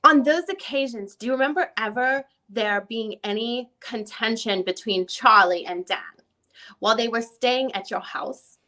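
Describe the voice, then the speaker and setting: A woman plays a methodical female attorney questioning a witness. Her voice is bright and forceful, a little high. The questioning is formal, deliberate and probing. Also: poor audio. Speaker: reader playing a lawyer. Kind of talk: dramatic reading of a deposition.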